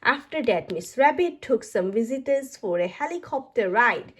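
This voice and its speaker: A woman reading a children's storybook aloud in an expressive way, as a narrator for young children. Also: on the high side, normal speed, husky.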